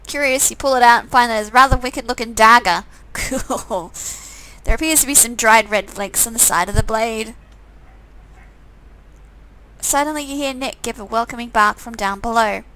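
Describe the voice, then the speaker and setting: Bright, higher-pitched woman narrating a game. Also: normal speed, low-quality recording. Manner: sarcastic, amused commenting between read lines. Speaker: streamer reading a visual novel aloud. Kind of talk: narration of a game with wry, casual asides.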